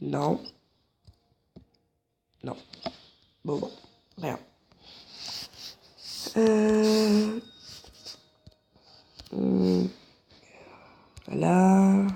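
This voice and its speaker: A man's voice: sits high, very slow, vocal fry, pauses often, warm tone, a little hiss or hum. A man with a head cold and a stuffy, nasal voice, chatting casually to viewers from his couch at home while commentating a game. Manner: chatty, jokey asides to viewers. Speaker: gameplay commentator. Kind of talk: casual let's-play commentary.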